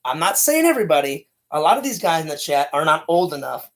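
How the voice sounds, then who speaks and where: A man in his late thirties, co-hosting a casual chat with a loud, energetic, rambling way of talking. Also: a little high, fast talker. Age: 30s. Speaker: podcast co-host.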